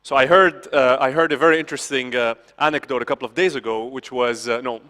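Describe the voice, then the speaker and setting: A man gives a conference talk in a casual, chatty way. He checks in with the audience and restarts his sentences often. His voice sits at a middle pitch, and a long hall reverb trails behind it. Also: fairly even intonation, quick pace, pushed and bright. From Lebanon. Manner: conversational, frequent "okay?" asides and restarts. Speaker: conference speaker.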